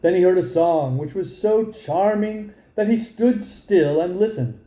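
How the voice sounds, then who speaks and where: A man narrating a fairy tale as a storybook reader, in an expressive style. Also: sits high, a little slow, echoey room.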